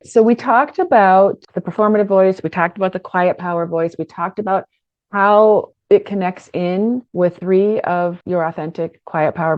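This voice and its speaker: A middle-aged woman with a low voice teaches an online course for business owners. She talks in a conversational, energetic way and often stumbles and restarts her sentences. The sound is dry and slightly dull. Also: no room sound. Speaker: business coach.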